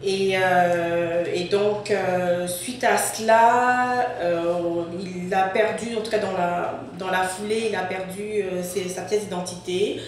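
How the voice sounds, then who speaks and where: A French woman, a guest in an interview, answering haltingly with many fillers. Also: medium pitch, fairly even intonation, slight background noise. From France. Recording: echoey, distant mic.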